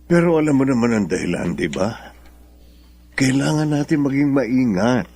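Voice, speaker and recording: A man's voice: mid-range voice, expressive, normal speed, creaky, a little mellow, no room sound. An actor playing a placating, secretive middle-aged father in a Filipino radio drama. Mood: cautious, placating.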